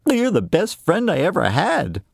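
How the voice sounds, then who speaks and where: An eager little boy frog, pitched well above the teller's own voice and bouncing all over the place, as a man puts him on for a storytelling recording. He talks slowly and clearly, and the sound is close and dry. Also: huge pitch swings, no echo, full character voice. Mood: cheerful. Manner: bright, eager, pleading. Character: young male frog, eager and cheerful.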